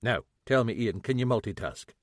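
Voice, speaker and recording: A man's voice: medium pitch, expressive, normal speed, no echo, lightly acted. A man voicing a shrewd, dry-witted Scottish police chief with a Scottish accent. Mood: brisk. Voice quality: wry, commanding.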